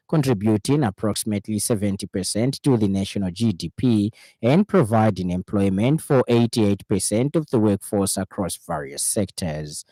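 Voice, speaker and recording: Dark-voiced Zambian male newsreader, low and dry. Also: dry recording, low-quality recording. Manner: formal, measured delivery. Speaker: radio news reader. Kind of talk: scripted news bulletin reading. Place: radio studio.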